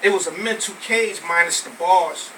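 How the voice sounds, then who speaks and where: Bright-voiced American man performing spoken word, distant mic. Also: high voice, normal speed. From Brooklyn, New York, United States. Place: live poetry venue with small audience. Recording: noisy, distant amateur recording of live venue.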